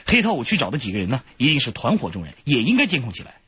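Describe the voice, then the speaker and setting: An actor playing a careful police officer in a radio drama, with a husky voice. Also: male voice, mid-range voice, huge pitch swings, racing, a bit bright, no room sound, dull audio, heavily acted. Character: careful, cautious male police officer colleague. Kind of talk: broadcast radio drama acting.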